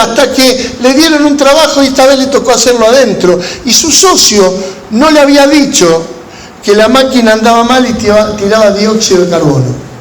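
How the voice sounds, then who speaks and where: A man with an Argentine Spanish accent preaching as a pastor, telling stories in measured, deliberate phrases with pauses. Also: very high-pitched. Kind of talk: sermon to a gathering of pastors, with storytelling. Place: large room at a church conference. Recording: echoey hall with background noise.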